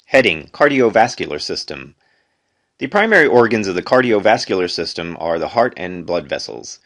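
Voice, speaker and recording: Male amateur reader, lowish voice, deliberate phrasing, unpolished textbook read-aloud. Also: very animated, a bit bright. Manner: deliberate phrasing, reads headings aloud, stumbles and corrects himself. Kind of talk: unedited educational read-aloud.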